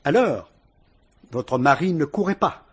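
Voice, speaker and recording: A man playing a patient police commissioner who speaks in a measured, deliberate, politely ironic way in a vintage radio drama. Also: on the high side, expressive, husky.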